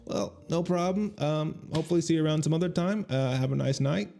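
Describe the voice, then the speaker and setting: A man's voice: a little high, a little fast, creaky, dark tone, faint music behind. Rambling, joking man in his thirties streaming. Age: 30s. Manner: rambling, self-deprecating and joking, reacting to chat. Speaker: comedian streaming on Twitch. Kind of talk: casual livestream chat with viewers. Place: small room at home. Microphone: studio mic on boom arm.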